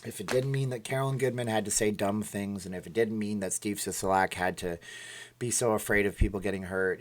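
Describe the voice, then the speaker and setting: Tired man chatting on a livestream. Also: deep voice. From Minnesota. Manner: tired, emotional and rambling, reading viewer names aloud. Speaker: livestream host. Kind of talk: casual livestream chat reading comments.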